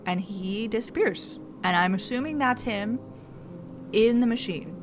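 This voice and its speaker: A woman's voice: on the high side, a little slow, background noise. A young woman streaming a narrative game, thinking aloud and reading its text in casual commentary.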